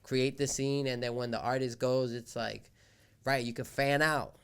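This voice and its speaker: A young man from Colombia whose first language is Spanish, a rapper and event organizer telling his story in a casual podcast interview, relaxed and slangy. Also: medium pitch, moderate pace, clean tone. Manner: laid-back slangy storytelling, often says "you feel me".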